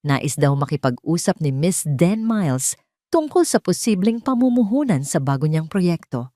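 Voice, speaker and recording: Low-voiced Filipino woman narrating dramatic fiction. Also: sing-song, moderate pace, warm tone, no echo, clean studio sound. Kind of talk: dramatic scripted story narration.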